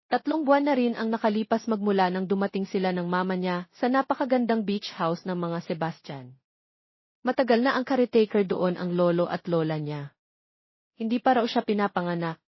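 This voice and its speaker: Casting: Filipino woman, story narrator for scripted romance, medium pitch, slightly lo-fi dull recording. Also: a little fast, dry recording.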